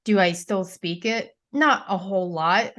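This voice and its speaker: A woman's voice: medium pitch, measured pace, a bit bright. A young woman with a Pennsylvania Dutch accent, her first language, telling her life story as a podcast guest in a chatty way, full of fillers and restarts. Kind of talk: casual interview storytelling.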